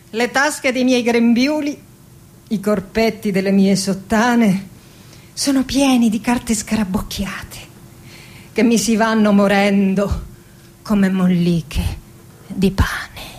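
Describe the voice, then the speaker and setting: Melancholic poetess, middle-aged, higher voice, unhurried, intimate dramatic delivery, poetic radio play. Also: female voice. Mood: bitter, wistful. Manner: intimate, dramatic, deliberate phrasing. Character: melancholic middle-aged poetess recalling her wounded girlhood. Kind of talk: poetic theatrical radio monologue.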